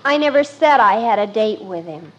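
A woman's voice: mid-range voice, lively intonation, normal speed, muffled recording, natural voice. A woman playing a stubborn, dreamy seventeen-year-old daughter in a 1950s radio comedy, earnest and dramatic. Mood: defiant. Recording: faintly noisy old radio recording.

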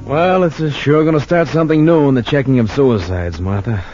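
An actor playing a steady, agreeable police lieutenant, laconic and matter-of-fact, in a 1940s-style radio drama. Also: male voice, mid-range voice, brisk, faint music behind, lightly acted. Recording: muffled old radio recording.

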